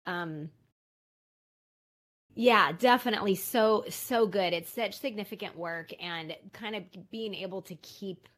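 A woman interviewer asking halting questions and affirming her guest's answers. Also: medium pitch, a bit bright. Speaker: podcast interviewer.

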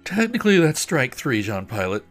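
A man's voice: mid-range voice, sing-song, normal speed, pitched above the performer's own voice. Mysterious male apparition, put on by a man, scolding, mirthless, impatient, taunting, storybook delivery, music behind.

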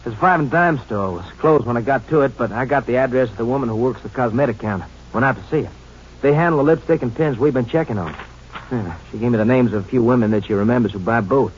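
A man's voice: medium pitch, quick pace, natural voice. An actor playing a businesslike lawman's helper reporting back, in a 1950s radio crime drama style. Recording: dull, faintly noisy old radio recording.

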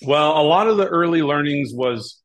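A man's voice: fairly high pitch, expressive, moderate pace. A middle-aged man from Hawaii talking casually as an interview guest, telling stories. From Hawaii, United States. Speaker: podcast guest, tournament director. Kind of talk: casual interview talk, storytelling.

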